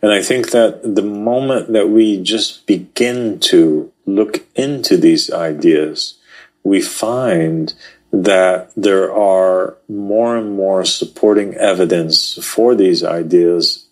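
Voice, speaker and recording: A man talking through religious texts in a relaxed podcast conversation, thoughtful and hesitant, with frequent fillers. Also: deep voice, takes it slowly. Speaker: podcast co-host. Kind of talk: casual religious discussion.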